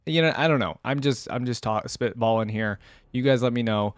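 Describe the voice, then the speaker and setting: A man's voice: mid-range voice, a little fast, no room sound. A man hosting a fan reaction video with casual commentary, rambling informally and thinking aloud as he talks to viewers. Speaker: host of a fan reaction channel.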